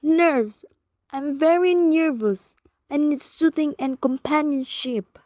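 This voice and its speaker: A woman's voice: sits high, slow pace, warm tone, no echo. A woman acting a nervous, demanding invalid wife in a suspense radio play, imperious and pleading.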